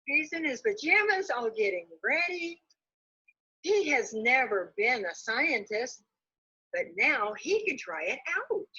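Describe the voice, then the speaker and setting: A woman's voice: sits high, very animated, takes it slowly, pushed and bright, breathy edge. A woman librarian reading a children's storybook aloud expressively, on dull lo-fi audio.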